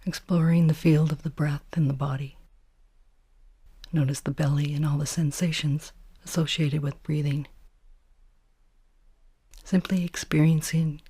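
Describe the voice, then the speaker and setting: Soft, breathy woman guiding meditation. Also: sits low, dry recording. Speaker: meditation teacher. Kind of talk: guided body scan meditation.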